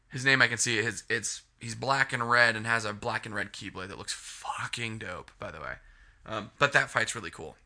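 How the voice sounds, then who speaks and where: A man's voice: lowish voice, bright, forceful tone. A man reviewing a video game in a casual, rambling rant, sniffly from a cold and swearing freely. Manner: frustrated, rambling, heavy swearing.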